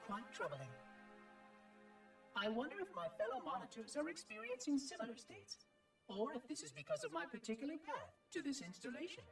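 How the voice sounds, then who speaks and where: High, swooping, fussy robot monitor. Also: female voice, wildly swooping pitch, a little fast, reverberant, far from the mic. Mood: troubled, bored. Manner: formal, precise, clinical diction. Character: eccentric robotic installation monitor, fussy, bored, verbose. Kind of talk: scripted game cutscene monologue. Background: music.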